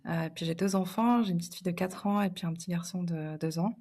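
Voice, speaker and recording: A woman's voice: on the low side, quick pace, warm tone. A woman in her late thirties from Switzerland, a podcast guest, talking in a reflective way with some fillers. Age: 30s. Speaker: guest, association co-founder. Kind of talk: casual podcast interview.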